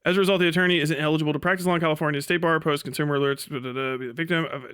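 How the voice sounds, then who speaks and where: A man's voice: a little high, fairly even intonation, very fast, fairly bright tone, clean tone. A man livestreaming mocking commentary on a video, casual and sweary, with incredulous exclamations.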